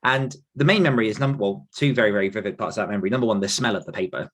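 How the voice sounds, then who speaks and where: Man, thirties, slightly low voice, casual podcast talker with a rambling, self-deprecating delivery. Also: rapid-fire. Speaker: podcast host and author telling his own story. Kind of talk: casual reflective podcast conversation.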